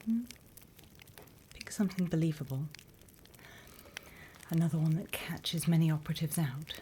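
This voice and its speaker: A British woman whispering in an ASMR roleplay as a stern, dry demon instructor, breathy and close to the microphone. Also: deep voice, warm tone. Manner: slow, deliberate, dry instructing. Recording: close whisper with background hiss.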